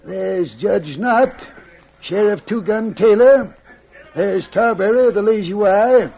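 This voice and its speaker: An actor playing a dry, needling newspaperman in an old radio western, with a creaky voice. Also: high voice, slow, full character voice. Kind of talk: 1940s radio western acting. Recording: dull old radio recording.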